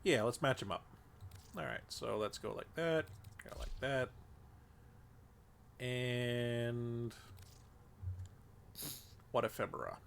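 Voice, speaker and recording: A man's voice: mid-range voice, steady tone, slow, creaky, pauses often, a little hiss or hum. A congested man casually thinking aloud while streaming a game, rambling with lots of fillers. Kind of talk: casual gameplay commentary. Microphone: desk microphone.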